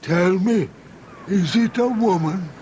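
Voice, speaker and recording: A man's voice: high-pitched, takes it slowly, a little mellow, muffled recording, slight background noise. A male actor playing a mocking, malicious hairy monster who tempts and goads in archaic, theatrical phrases in an old-time radio drama. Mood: probing, mocking.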